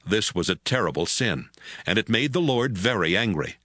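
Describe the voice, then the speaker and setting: A man narrating dramatized scripture from a script, telling it as a measured story. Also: fairly low pitch, very animated, fairly bright tone, no room sound.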